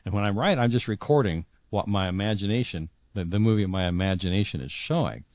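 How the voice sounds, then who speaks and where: A man's voice: on the low side, expressive, quick pace, darkish tone, no room sound. A middle-aged or older man talking reflectively as an interview guest, often repeating words as he searches for phrasing.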